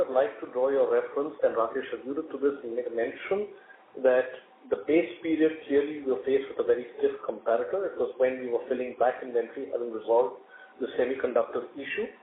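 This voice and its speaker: Indian guy doing a formal earnings call update, measured corporate talk, tinny phone line w some noise. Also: medium pitch. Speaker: company finance executive. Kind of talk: prepared earnings call briefing. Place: company conference call. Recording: roomy, noisy narrowband conference phone line.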